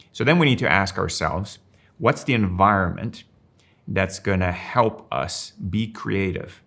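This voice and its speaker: An older man, a strategic designer from Finland who grew up in Rome, speaks in a thoughtful, measured way and slows down to stress key words. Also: on the low side, creaky, a bit bright. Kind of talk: reflective interview monologue.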